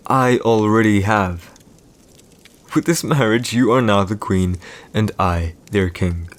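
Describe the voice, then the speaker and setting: A man playing a proud, calculating villain emperor in an audio roleplay, speaking in a measured, commanding way with deliberate pauses. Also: sits low, a little hiss or hum. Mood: taunting, proud. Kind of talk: scripted boyfriend audio roleplay, slow dramatic monologue.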